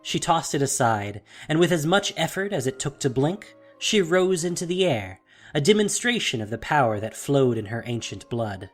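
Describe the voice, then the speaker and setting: Expressive narrator with a lively, swooping delivery. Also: mid-range voice, normal speed. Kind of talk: dramatic scripted fantasy storytelling. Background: soft music.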